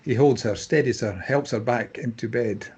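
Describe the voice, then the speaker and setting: A man reads scene directions as the narrator of a drama script. His voice sits at a medium pitch and has a husky grain. The delivery is scripted narration. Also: normal speed, a little mellow, muffled recording. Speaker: narrator reading stage directions.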